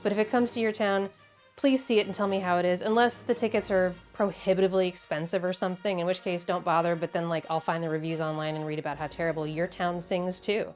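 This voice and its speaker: A woman's voice: on the low side, fairly even intonation, quick pace, faint music behind. A woman with an American accent narrates a scripted video essay in a wry, conversational, emphatic way with casual asides. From Midwestern United States.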